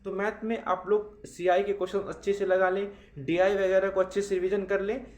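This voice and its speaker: A man's voice: high-pitched, little pitch movement, brisk, darkish tone. A man giving an informal exam analysis to students as a coach, explaining things with repetitive phrasing. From India. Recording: roomy echo.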